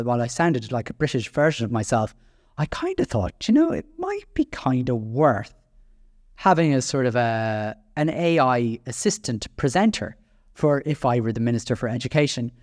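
An Irish man talks through his solo podcast in a chatty, self-deprecating way, tripping over restarts and filler words. His voice sits at an everyday middle pitch. The recording is dry and close, with no room sound. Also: dry recording. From Ireland. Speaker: podcast host.

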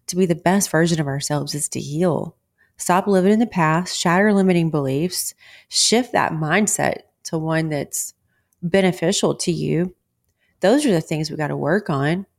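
A woman's voice: fairly low pitch, darkish tone. A woman from Texas with a Texan accent, hosting a podcast and giving a blunt, outspoken motivational talk, swearing freely. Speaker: podcast host. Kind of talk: motivational monologue.

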